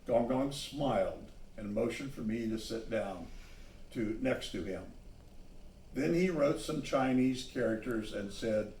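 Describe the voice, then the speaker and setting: A man reading a children's story aloud, pausing to add simple asides about the pictures. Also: mid-range voice, a little mellow, slight background noise. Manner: pauses to point out the pictures. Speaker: storybook reader. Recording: echoey room, distant microphone.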